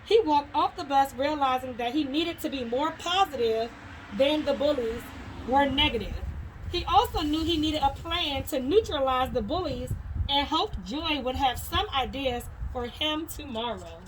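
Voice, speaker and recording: A woman's voice: high-pitched, expressive, measured pace, slight background noise, rough recording. A woman reading a children's story aloud in the manner of a schoolteacher.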